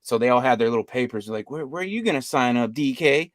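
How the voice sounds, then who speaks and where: A man's voice: medium pitch, lively intonation, fast talker, no echo, clean studio sound. A man hosting a fantasy football podcast, chatting casually and reading things aloud with a chuckle. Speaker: podcast host. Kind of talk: casual chat.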